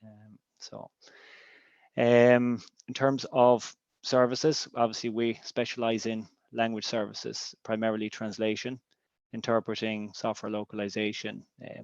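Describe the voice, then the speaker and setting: A man's voice: fairly low pitch, creaky, dry recording, dull audio. A man from Ireland with an Irish accent, a native Irish speaker, giving a webinar presentation as a business intelligence director, with many ums and restarts.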